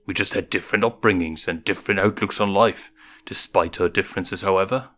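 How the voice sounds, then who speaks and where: A man's voice: sits low, a little fast, fairly bright tone. A man voicing a cocky, teasing young male lion cub with a casual big-brother manner. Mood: frank, fond. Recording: music behind the voice.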